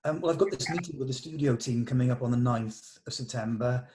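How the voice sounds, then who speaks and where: A man's voice: medium pitch, a little fast, darkish tone, slight background noise. Man in a casual planning chat. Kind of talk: casual meeting discussion.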